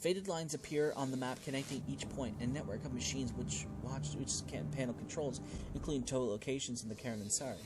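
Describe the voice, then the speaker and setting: A man playing through a role-playing game, reading its text aloud and adding casual, expressive commentary of his own. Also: mid-range voice, fast talker. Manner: expressive, reacting out loud to the game. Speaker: gaming video host playing a role-playing game.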